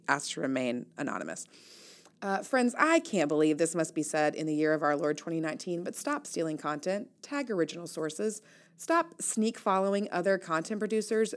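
A woman hosting an audio newsletter, reading her casual commentary aloud in a wry, playful, conversational way. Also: on the low side.

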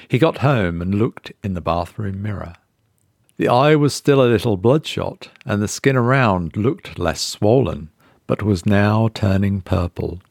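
Male narrator for scripted horror tale: low pitch, measured and suspenseful, close dry sound. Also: gravelly, no room sound. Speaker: narrator reading a horror short story.

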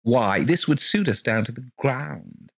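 A man's voice: medium pitch, animated, unhurried, gravelly, a bit bright, breathy edge, no room sound, clean studio sound, voice pushed up, full character voice. A man voicing a gushing, fluttery middle-aged woman who is eager to rent rooms. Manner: effusive, breathless, fluttery.

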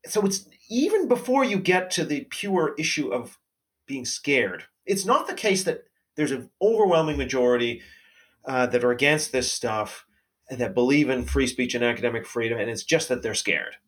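A male host lays out his reasoning in a clear, argumentative way. His voice has a middle pitch, and he often lets the ends of his sentences fade. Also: lively intonation, normal speed. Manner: articulate, argumentative, trailing off quietly. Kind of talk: casual conversation.

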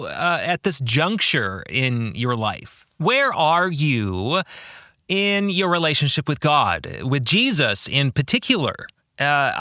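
Bright-voiced, slow-paced man, very animated pitch. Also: dry recording, clean studio sound. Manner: earnest, deliberate phrasing with rhetorical questions. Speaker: podcast host and author. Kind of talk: reflective book club podcast monologue.

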